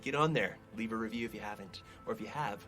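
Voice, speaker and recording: A man's voice: on the low side, quick pace, music in the background. A man bantering casually as a podcast co-host, with a playful, teasing, joking manner.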